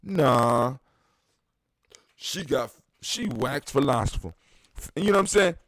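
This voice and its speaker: Animated man in his fifties, dry sound. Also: mid-range voice, takes it slowly, dry recording. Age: 50s. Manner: animated, profane, emphatic ranting. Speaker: podcast host. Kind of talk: casual chat.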